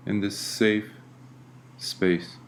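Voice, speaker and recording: A man leading a guided meditation as a teacher, speaking gently and deliberately with long pauses. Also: fairly low pitch, level tone, a little hiss or hum.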